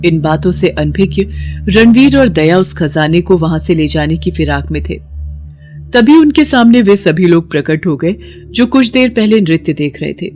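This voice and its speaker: A woman's voice: fairly low pitch, expressive, moderate pace, darkish tone, faint music behind. A woman narrator reading a scripted story in a dramatic storytelling style.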